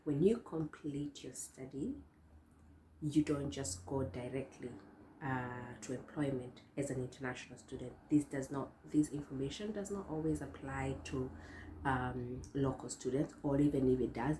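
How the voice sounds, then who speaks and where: A hoarse young woman talks to her viewers in a loose, rambling vlog style, full of fillers and restarts. Her voice is very deep and mellow. The room has a roomy echo with a faint hum behind it. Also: normal speed. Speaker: vlogger host. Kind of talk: casual talk to camera. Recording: echoey room.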